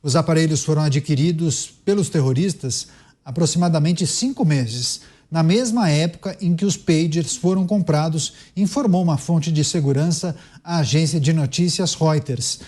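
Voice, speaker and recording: A man's voice: on the high side, normal speed, mellow. A Brazilian man hosting a news show, reading reports and moderating a panel.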